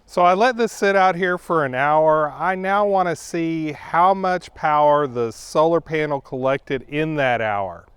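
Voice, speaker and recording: A man narrating a product review as its host, explaining things in a measured, methodical way. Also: on the high side, fairly bright tone, slight background noise.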